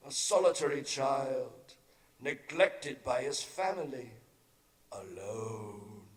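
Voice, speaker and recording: An actor playing a solemn, commanding spirit in a theatrical radio drama, grave and formal, echoing over music. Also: male voice, mid-range voice, lively intonation, slow. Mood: solemn, probing. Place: performing arts center stage. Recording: echoey hall, music underneath.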